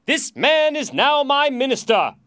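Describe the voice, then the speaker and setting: A man voicing a frightened, impatient storybook king who shouts urgent orders. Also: extremely high pitch, very animated, measured pace, pitched above the performer's own voice, exaggerated voice.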